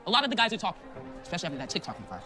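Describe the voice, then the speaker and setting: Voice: mid-range voice, huge pitch swings, very fast, loud music behind the voice. A performer playing a pushy, taunting teenage basketball teammate in a web drama, pressing and challenging. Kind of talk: scripted web drama acting.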